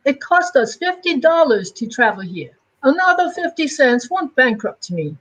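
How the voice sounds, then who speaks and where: A woman's voice: high voice, big pitch swings, normal speed, a bit bright, low-quality recording. A woman voicing a thrifty, talkative old grandmother in an amateur dramatic reading of a comic, fussy in manner. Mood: grumbling, chatty.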